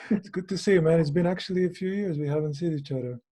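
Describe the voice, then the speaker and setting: A man's voice: a little high, fast talker, warm tone. A man hosting a podcast interview, chatting in a friendly way and often restarting his sentences. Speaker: podcast host. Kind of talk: casual interview conversation.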